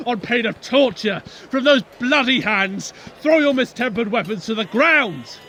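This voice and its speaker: A man's voice: high voice, bright, forceful tone, exaggerated voice. A man playing a stern, outraged prince, declaiming commands in a Shakespearean audio drama. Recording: faint noise, home phone recording.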